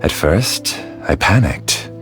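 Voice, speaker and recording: Deep-voiced obsessed researcher, theatrical. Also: male voice, lively intonation, slow, music in the background, exaggerated voice. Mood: intent, explanatory. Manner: theatrical, self-assured, explanatory. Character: obsessed male researcher, smugly self-justifying, trapped in his dream. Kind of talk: video game character acting.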